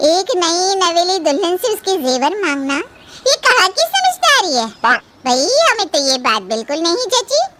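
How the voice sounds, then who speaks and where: A woman's voice: very high voice, big pitch swings, measured pace, heavy background noise, pitched above the performer's own voice, heavily acted. A woman voicing a bride's older mother in a moral story, meddling and accusing in a sarcastic, reproachful manner. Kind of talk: Hindi moral-story drama.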